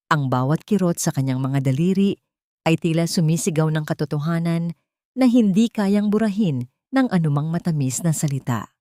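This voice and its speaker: A woman reading a scripted dramatic story in a solemn, deliberate, emotive way. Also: sits low, a little mellow, dry recording, clean studio sound.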